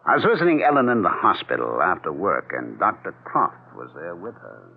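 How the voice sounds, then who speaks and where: Skeptical, irritable husband, low husky voice, scoffing, 1970s radio drama, muffled vintage sound. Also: male voice, wildly swooping pitch, a little fast, heavily acted. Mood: smooth, subdued. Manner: dismissive, blunt, swinging from smooth politeness to scoffing. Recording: muffled, narrow-band 1970s radio recording.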